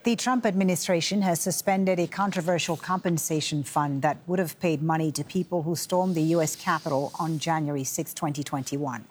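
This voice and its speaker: A woman reading scripted news as an anchor, with a husky voice. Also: deep voice, fairly even intonation, a little fast, hardly any pauses, a little mellow, slight background noise. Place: broadcast studio.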